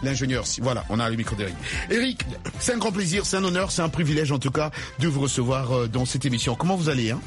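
A man hosting a live radio interview in a studio, welcoming his guest with lively, effusive warmth. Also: medium pitch, fast talker. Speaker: radio host. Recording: radio broadcast with music bed.

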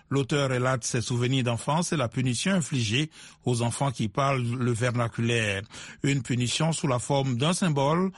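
A man presents a radio news segment, reading his scripted lines in a steady announcer manner. The studio sound is dry and close. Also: medium pitch, no room sound.

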